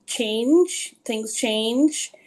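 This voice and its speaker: A woman's voice: on the high side, very slow, darkish tone. A woman from Barbados, a webinar participant, introducing herself politely over a video call.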